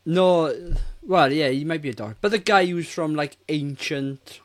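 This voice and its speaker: A man's voice: on the high side, unhurried, slight background noise. A man in his forties co-hosting a casual film review podcast, talking in a rambling, hesitant way. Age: 40s.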